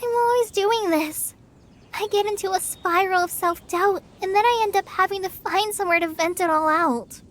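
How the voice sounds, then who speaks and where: A woman's voice: extremely high pitch, normal speed. An actress voicing a timid, self-doubting teenage girl in a video game, sincere and unsure of herself. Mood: anxious.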